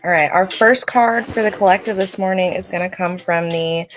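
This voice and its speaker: A woman hosting a livestream, reading oracle cards and chatting to viewers in a casual, reflective way, her throat scratchy and needing clearing. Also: on the low side, moderate pace.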